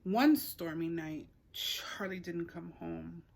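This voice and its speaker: A woman teacher reading a picture book aloud to young children, expressively, pausing to put questions to the listeners. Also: on the low side, vocal fry, a little hiss or hum. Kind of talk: read-aloud story time for young children.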